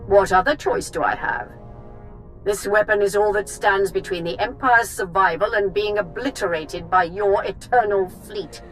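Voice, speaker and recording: A villainous game empress voiced by a woman, mid-pitched and imperious. She speaks with theatrical hauteur and open defiance. A faint score sits behind her. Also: moderate pace, a bit bright, soft background music, natural voice, rough recording. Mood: haughty defiance. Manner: haughty, theatrical. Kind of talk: cinematic game dialogue.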